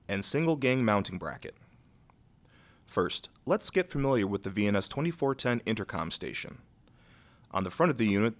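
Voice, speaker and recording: A man reads scripted instructional narration in a measured, neutral, methodical way, going step by step. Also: on the low side.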